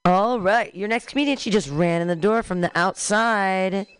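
A woman's voice: medium pitch, moderate pace, smooth, no echo. A woman hosting a comedy show with casual, rambling, self-mocking banter between acts.

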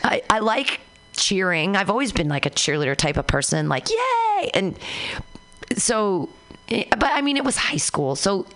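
A woman hosting a casual radio chat, telling stories animatedly and jumping into high voices when she quotes people. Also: big pitch swings, normal speed, fairly bright tone. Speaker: radio show host.

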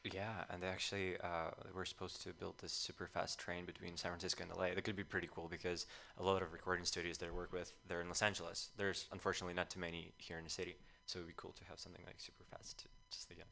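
A man's voice: low-pitched, wildly swooping pitch, quick pace, creaky, few pauses, sharp tone. A young man from Ukraine, a musician guest telling his story in a casual interview, often quoting himself. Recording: radio show studio.

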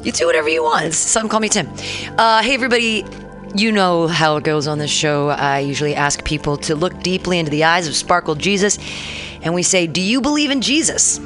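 A woman's voice: fairly low pitch, animated, brisk, a bit bright, faint music behind. Chatty woman hosting a radio show. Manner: loose, chatty, swearing freely. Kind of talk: casual radio chat.

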